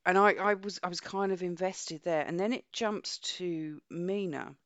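A woman with a husky voice from a cold, co-hosting a casual book chat and often restarting her sentences. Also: lowish voice, moderate pace, dry recording. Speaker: podcast co-host. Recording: dull lo-fi audio.

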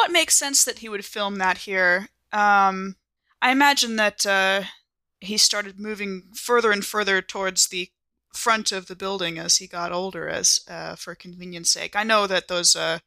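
A woman playing in a tabletop roleplaying game, talking casually and haltingly as she thinks aloud. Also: mid-range voice, vocal fry, pushed and bright, dry recording. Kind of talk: casual in-character game chat.

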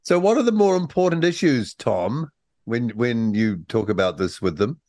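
A man's voice: medium pitch, expressive, moderate pace, a little mellow, no echo. A radio host in a dry, close studio sound, bantering in a jokey way. He tells anecdotes and plays all the voices in them. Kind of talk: radio talk show.

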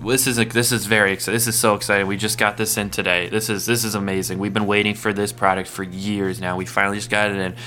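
A man's voice: level tone, quick pace, few pauses, sharp tone. A man playing a pushy TV shopping channel host, hyping a product to the folks at home. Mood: hyped. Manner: salesy, hyped pitch to viewers.

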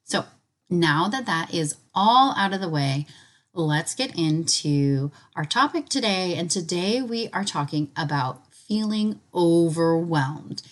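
Slightly raspy, low-voiced woman podcaster. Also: lively intonation, slow. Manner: chatty, enthusiastic, informal. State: voice recovering from illness, slightly hoarse. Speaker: podcast host. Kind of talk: casual spiritual podcast monologue.

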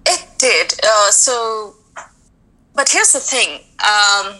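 Woman, from India, playwright and actor, bright tone, mid pitch, reflective, laughs lightly. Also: animated, very slow, poor audio. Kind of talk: casual interview.

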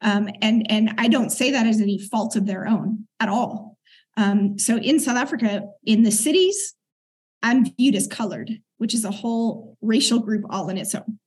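A middle-aged woman speaks in a slightly husky, breathy voice that sits a little higher than most. She talks in an earnest, reflective way, clearing her throat now and then as she tells her story. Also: moderate pace, darkish tone. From United States. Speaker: guest. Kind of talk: conversational interview storytelling.

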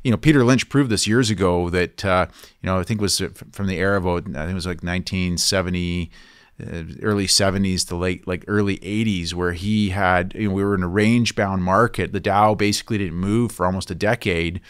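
An eager male interviewer with a very low voice talks in a chatty, agreeable way. The recording is dry and close, with no room sound. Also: fairly even intonation, fairly quick, clean tone, dry recording. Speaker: interviewer, investment podcast host. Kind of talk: interview conversation.

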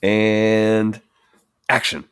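A man co-hosting a casual podcast, playful and quick to chuckle. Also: lowish voice, completely flat delivery, fairly bright tone, clean tone, dry recording, clean studio sound. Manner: chuckling, playful, stumbles into restarts. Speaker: podcast co-host.

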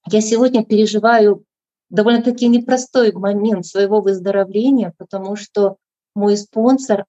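A woman with a mellow, dark voice shares her story in a measured, reflective way. The audio is dry and dull, heard through a video call. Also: medium pitch, no echo. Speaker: meeting speaker. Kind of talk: personal recovery share.

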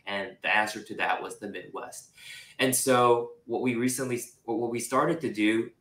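A young man from Japan who grew up in Singapore, a real estate investor talking casually as an interview guest, relaxed and chatty with lots of "like" fillers. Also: mid-range voice, moderate pace, echoey room. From Japan, raised in Singapore. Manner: relaxed, chatty, many "like" fillers, laughs easily.

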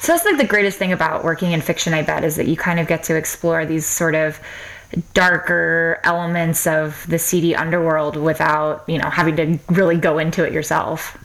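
A woman's voice: low-pitched, a little fast, fairly bright tone, poor audio. A woman hosting a podcast interview, upbeat and enthusiastic, often laughing. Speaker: podcast host. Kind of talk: casual interview chat.

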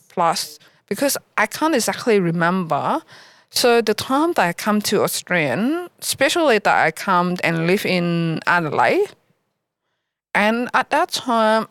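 A woman from Vietnam with a Vietnamese accent, speaking haltingly as a guest in an interview in a library. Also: mid-range voice, dry recording. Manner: halting, searching for words. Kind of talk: interview answers. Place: local library.

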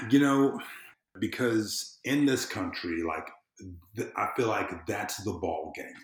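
A man's voice: lowish voice, animated, slow pace. A man from the southern United States with an American accent, talking casually and emphatically as a podcast guest, with informal, salty phrasing. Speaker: podcast guest, civic engagement consultant. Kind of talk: casual, impassioned conversation. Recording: roomy, echoing sound.

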